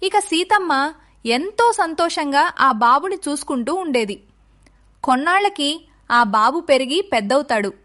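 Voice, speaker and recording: A woman's voice: high voice, expressive, measured pace, fairly bright tone. A woman reading a scripted children's moral story as its narrator.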